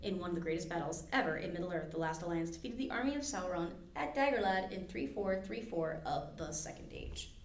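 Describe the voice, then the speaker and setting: A woman's voice: fairly low pitch, expressive, fairly quick, hardly any pauses, distant mic, slight background noise. A woman hosting a library podcast, chatting through a trivia quiz with many fillers and restarts. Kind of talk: casual chat with trivia quiz. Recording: roomy echo.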